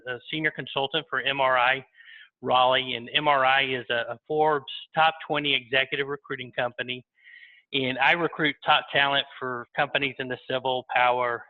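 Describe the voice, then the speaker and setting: A man hosting an interview as facilitator, halting and repeating words as he speaks. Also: mid-range voice. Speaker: interviewer, recruiting consultant. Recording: tinny phone-like line.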